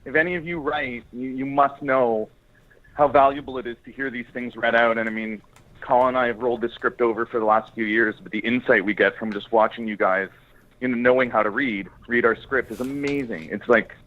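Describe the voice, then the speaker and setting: A man with a mid-range voice talks as a screenwriter in a relaxed interview. He is emphatic and effusive and tends to ramble. The audio is dull and narrow, like a remote call. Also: mid-range voice, a little fast. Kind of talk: casual interview chat.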